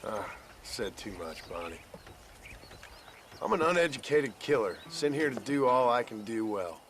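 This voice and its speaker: An actor playing a gruff, weary former outlaw cowboy with an American accent, speaking plainly and haltingly in a video game drama. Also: male voice, medium pitch, sing-song. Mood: weary, reflective. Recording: faint outdoor background noise.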